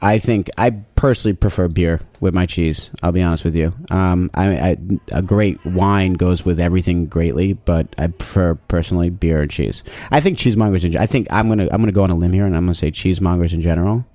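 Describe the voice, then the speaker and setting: A man in casual podcast chat, talking with playful enthusiasm and frequent restarts, throwing out shout-outs. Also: sits low, quick pace, few pauses, no echo. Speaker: podcast guest, cheese competition organizer.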